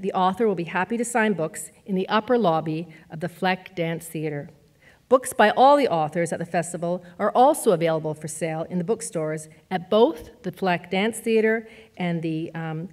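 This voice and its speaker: Woman briskly reading event announcements. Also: lowish voice, darkish tone. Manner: brisk, reads from a prepared script. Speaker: event host. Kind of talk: scripted announcements and introduction. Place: theatre stage.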